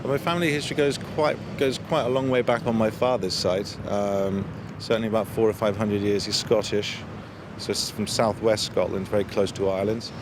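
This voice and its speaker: A man's voice: steady tone, a little fast. A man answering interview questions in a considered way, outdoors in a city. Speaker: street interviewee. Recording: noisy outdoor urban street, traffic rumble.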